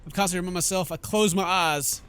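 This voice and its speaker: A man's voice: sits high, fairly even intonation, quick pace, a bit bright, faint music behind. A man doing let's play commentary, reading game text aloud and tossing in joking, theatrical asides. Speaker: gaming YouTuber.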